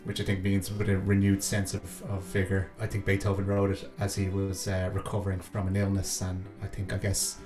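Low, even-voiced man in a roomy space. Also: fairly quick, few pauses. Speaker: composer. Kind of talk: reflective interview talk about own work. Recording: roomy echo, faint music behind.

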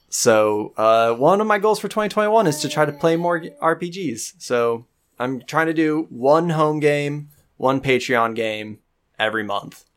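A young man hosting a podcast, chatting casually and enthusiastically, rambling with lots of fillers. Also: medium pitch. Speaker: podcast host and game master. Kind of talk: casual chat.

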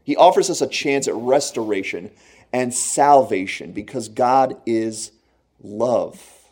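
Earnest man preaching in a mellow voice. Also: mid-range voice, breathy edge. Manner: earnest, conversational preaching with slow emphatic phrases; laughs at own jokes. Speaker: pastor. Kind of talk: sermon.

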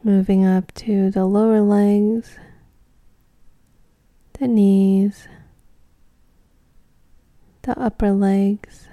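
A woman leading a guided meditation in a gentle, soothing, unhurried way, leaving long pauses between short phrases. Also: mid-range voice, little pitch movement, no room sound.

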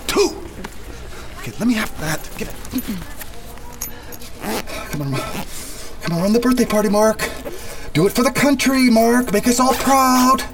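A self-important male director with a very high-pitched, agitated voice. He is bossy and frantic, repeats himself and swears, all in the manner of satirical audio drama. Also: a little slow, long pauses, poor audio. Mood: frantic, grandiose.

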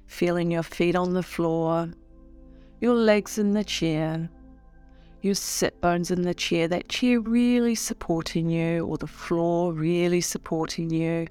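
A woman leading a guided meditation in a soothing, unhurried way with long pauses. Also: on the low side, a little mellow, clear, resonant tone.

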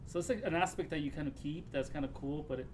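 Fast-talking man, casual and slangy. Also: fairly high pitch, a little mellow, slight background noise. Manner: laid-back, slangy, swearing. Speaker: podcast participant. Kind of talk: casual chat, storytelling.

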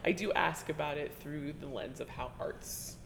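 A woman interviewing a colleague in a relaxed, chatty way, often trailing off and restarting and laughing as she talks. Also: low-pitched, moderate pace, gravelly, crowd noise. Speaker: interviewer, teaching artist. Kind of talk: conversational interview. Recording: reverberant room with faint ambience.

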